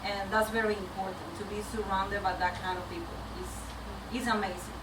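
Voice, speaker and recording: A woman from Venezuela with a Venezuelan Spanish accent gives an informal, candid personal talk to a meetup audience, speaking a bit haltingly and nervously. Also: mid-range voice, fairly even intonation. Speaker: community track lead speaker. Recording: echoey noisy room, distant microphone.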